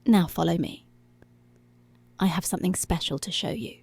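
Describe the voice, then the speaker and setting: A woman playing a dominant, seductive policewoman in an intimate ASMR roleplay, breathy and deliberate, often dropping to a whisper. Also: on the low side, a little mellow, dry recording. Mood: slow, commanding. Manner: slow, deliberate, commanding.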